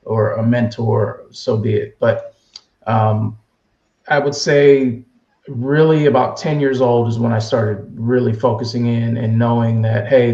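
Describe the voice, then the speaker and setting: A man's voice: mid-range voice, gravelly, warm tone. A man as a podcast guest, telling his story in an easygoing way. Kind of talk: casual interview answers. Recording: echoey room, dull lo-fi sound.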